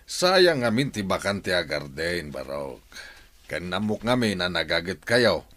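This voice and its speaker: A kindly older village uncle acted by a man for a radio drama. His voice sits low and swings expressively, welcoming and concerned for his kin. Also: very animated, measured pace, fairly bright tone, exaggerated voice.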